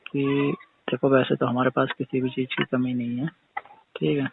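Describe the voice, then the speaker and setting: A man's voice: medium pitch, flat delivery, normal speed, creaky, lightly acted. A man playing a suggestive employer on a phone call, coaxing and circling hesitantly, with frequent tag questions in casual colloquial talk. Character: wheedling, suggestive male employer bargaining with his maid. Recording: noisy, dull phone-call recording with clicks.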